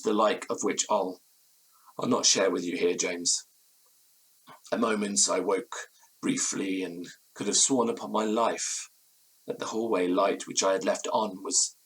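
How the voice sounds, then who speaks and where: A haunted period gentleman tells a ghost story in a low, husky voice. His diction is florid and formal, with an anxious, faltering edge suited to gothic audio drama. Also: low-quality recording. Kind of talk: dramatic first-person horror narration in audio drama.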